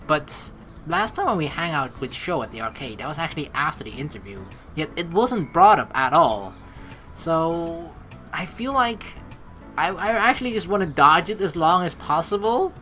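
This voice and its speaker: Chatty gamer doing let's play commentary, bright voice, bit of music behind. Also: mid-range voice. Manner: expressive, chatty, swears casually. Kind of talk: casual game commentary mixed with reading narration aloud. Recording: game music under the voice. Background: game music.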